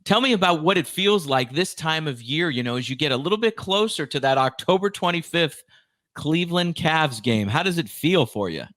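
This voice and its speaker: A man's voice: fairly high pitch, animated, normal speed, fairly bright tone, dry recording, studio quality. A man hosting a podcast, speaking as presenter and interviewer. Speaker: podcast host. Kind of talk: scripted podcast introduction, then conversational interviewing.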